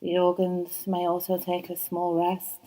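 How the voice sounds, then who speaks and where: A woman teaching yoga nidra, guiding a relaxation meditation in a soft, soothing voice, slow and unhurried with long pauses. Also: fairly low pitch, monotone, low-quality recording. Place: yoga training course.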